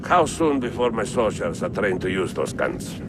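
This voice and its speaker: An actor playing a haughty, scheming warlord in a game cutscene, imperious and condescending. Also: male voice, lowish voice, big pitch swings, normal speed. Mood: calculating. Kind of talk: video game cutscene acting. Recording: clear background noise.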